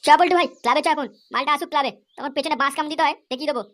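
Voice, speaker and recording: Brief: performer as crude cartoon sidekick lad, high-pitched, very rapid, pushy. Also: a bit bright, a little hiss or hum. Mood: eager, crude. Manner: crude, egging others on. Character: crude eager young village sidekick. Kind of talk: comedy cartoon dubbing.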